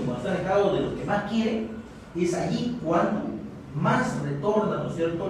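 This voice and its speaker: A man with a Peruvian accent giving an extemporaneous literary speech at a book presentation, in a didactic, ornate manner with rhetorical questions. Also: sits high. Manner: ornate, erudite vocabulary. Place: echoey indoor room at a live event. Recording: very echoey room, distant mic, faint noise.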